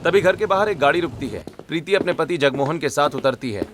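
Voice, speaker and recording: A man's voice: a little high, lively intonation, fairly quick, a bit bright. A man reading scripted narration for a cartoon family story.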